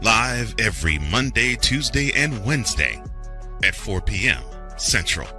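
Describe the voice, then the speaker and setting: A male announcer reads a scripted promo in a low, very forceful voice. His delivery is highly animated, swinging up and down with punch. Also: a little slow, soft background music.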